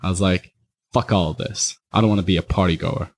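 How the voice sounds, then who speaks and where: A young man from Iraq talks fairly low, candid and loose, full of slang and filler. His delivery rambles easily from one point to the next. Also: fairly even intonation, a little fast. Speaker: guest, party promoter. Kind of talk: casual podcast chat.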